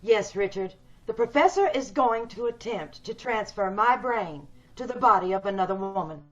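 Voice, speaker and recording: A woman's voice: mid-range voice, lively intonation, moderate pace, lightly acted. A woman playing a bitter, wealthy, commanding woman in an old-time radio drama. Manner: cold, insistent, commanding. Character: wealthy, determined, bitter ugly woman scheming for a new body. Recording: dull video-call audio.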